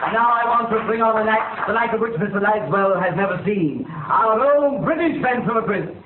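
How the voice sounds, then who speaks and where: An actor playing a showy British nightclub announcer in a vintage radio drama, declaiming in a drawn-out showman's style. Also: high voice, natural voice. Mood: grand, jovial. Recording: roomy, very noisy, tinny radio recording.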